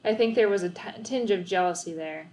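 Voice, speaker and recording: A woman reads a children's story aloud in scripted, expressive narration. Her pitch sits in the middle range for a woman. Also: fairly quick, a little hiss or hum.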